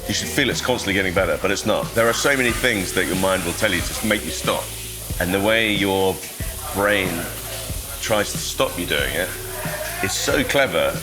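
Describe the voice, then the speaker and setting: A middle-aged man from Sri Lanka talks reflectively and modestly about his work. His voice sits very low, and music plays clearly behind the conversation. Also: lively intonation, normal speed. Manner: thoughtful, modest, low-key. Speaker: guest, surgeon and painter. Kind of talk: reflective interview talk.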